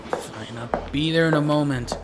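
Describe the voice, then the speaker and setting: A woman's voice: low-pitched, moderate pace, noisy background, lightly acted. A woman playing an impatient, businesslike investigator who talks brusquely.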